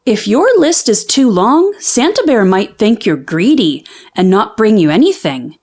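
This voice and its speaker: A woman's voice: medium pitch, big pitch swings, a little slow, darkish tone. A woman voicing a confident, sensible young boy bear cub in a storybook. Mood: warning.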